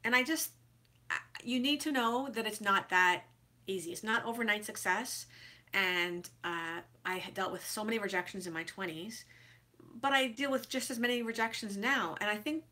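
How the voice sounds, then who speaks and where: A woman's voice: mid-range voice, sharp tone. A woman in her forties with a head cold, chatting casually and congested, with many fillers and restarts, giving writing advice in a vlog. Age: 40s. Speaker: author vlogger.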